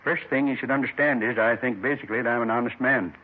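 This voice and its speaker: An actor playing an earnest, resigned American robbery suspect in a 1950s radio drama. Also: male voice, mid-range voice, quick pace, slightly rough, close to an everyday voice. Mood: remorseful, earnest. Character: remorseful, earnest male drunk turned robbery confessor. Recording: tinny 1950s radio recording.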